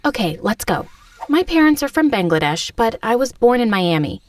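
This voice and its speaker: Young woman, story narrator, expressive and confiding, pitched a little high. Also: normal speed, noisy background. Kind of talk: scripted first-person narration of an animated story.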